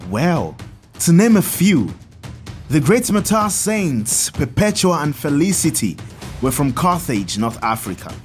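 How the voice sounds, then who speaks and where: Expressive, higher-voiced storytelling priest. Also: male voice, measured pace, soft background music. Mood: earnest, explanatory. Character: kindly priest, Father Tad, teaching children about saints. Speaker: priest character telling saints' stories. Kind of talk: audio drama storytelling.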